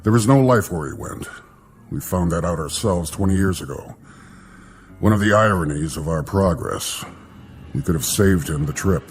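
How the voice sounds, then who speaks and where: An actor playing a grave, compassionate space-program official in a formal, measured vintage television drama manner. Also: male voice, low-pitched, expressive. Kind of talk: mid-century television drama acting. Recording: vintage soundtrack with loud music behind. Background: dramatic music.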